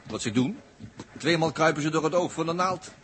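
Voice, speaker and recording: A man's voice: fairly high pitch, a little fast, a bit bright, natural voice. A man acting a talkative, wry and impatient space traveller who needles his companion in a 1960s radio play. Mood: wry, reproachful. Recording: vintage radio recording with faint noise.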